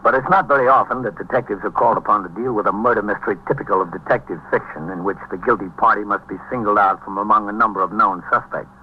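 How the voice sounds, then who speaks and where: Casting: male actor as brisk, authoritative American precinct captain, dry and businesslike, on dull vintage radio. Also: fairly low pitch, close to an everyday voice. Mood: sober. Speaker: lead actor and narrator of police drama. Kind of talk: 1950s radio drama acting and narration. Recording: dull, hissy 1950s radio recording.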